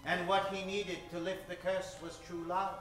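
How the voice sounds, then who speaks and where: Man acting as excitable old-time radio narrator, very high pitch, melodramatic, distant and echoey, music behind. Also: moderate pace. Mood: suspenseful. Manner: theatrical, melodramatic. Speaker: announcer and narrator. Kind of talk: 1940s radio serial narration.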